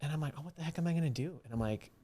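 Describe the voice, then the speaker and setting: Male podcast host, casual and slangy storyteller, fairly high-pitched for a man. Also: very fast, mellow. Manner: informal, slangy. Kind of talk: casual chat, animated storytelling.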